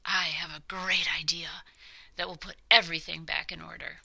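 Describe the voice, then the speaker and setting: A woman's voice: low-pitched, flat delivery, moderate pace, vocal fry, bright, forceful tone, full character voice. A woman voicing a contemptuous, grumbling, scheming male king from a children's storybook, with a blustering, sneering delivery. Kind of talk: children's storybook character reading.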